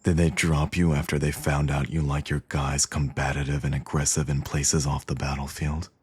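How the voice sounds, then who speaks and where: Man acting a very deep, smug villain. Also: little pitch movement, normal speed, breathy edge, full character voice. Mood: smug, teasing.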